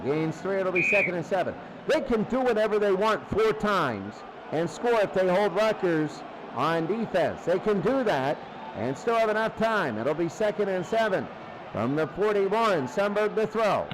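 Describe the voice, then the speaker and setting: A man's voice: high voice, big pitch swings, moderate pace. A man doing informal live play-by-play football commentary, with muttered asides to himself. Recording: clearly noisy game broadcast.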